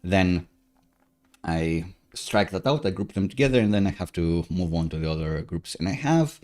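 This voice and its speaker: Guy in his 30s, english not his first language, chill live coding stream from home, lowish voice, chatty, lots of uhs and little laughs. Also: expressive, normal speed, a little mellow. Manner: chatty, upbeat, many filler uhs and small laughs. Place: home room with window.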